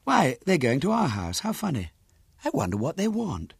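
A man voicing a gentle, mild middle-aged mother who speaks softly and agreeably. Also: on the high side, wildly swooping pitch, normal speed, creaky, slightly rough, no room sound, studio quality, voice pushed up, exaggerated voice. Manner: soft, accommodating.

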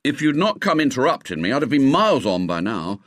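A man's voice: mid-range voice, sing-song, brisk, a bit bright, dry recording, studio quality, pitched above the performer's own voice. A man voicing an earnest, stubborn schoolboy adventurer from a storybook, stolid and self-important. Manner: earnest, stolid, boyish slang.

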